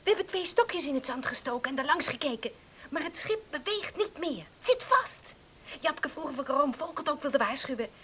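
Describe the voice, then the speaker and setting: A woman's voice: sits high, very animated, a little fast, a bit bright. An actress playing an eager, pleading young country girl in a radio drama. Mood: excited, urgent. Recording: hissy old radio play recording.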